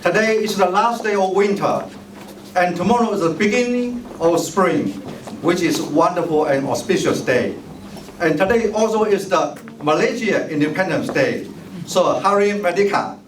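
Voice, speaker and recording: A man's voice: sits high, expressive, moderate pace. A middle-aged man whose first language is Chinese, giving a formal, ceremonial campaign speech and thanking guests at a tea party. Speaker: mayoral candidate giving a speech. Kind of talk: bilingual public speech, switching English and Chinese. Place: large hall at a tea party event. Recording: echoey hall, distant mic, noisy ambience.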